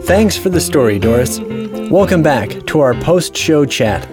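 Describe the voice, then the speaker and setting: A man's voice: on the high side, sing-song, unhurried, over music. A man hosting a post-show interview, speaking in a scripted, presentational style. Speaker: host.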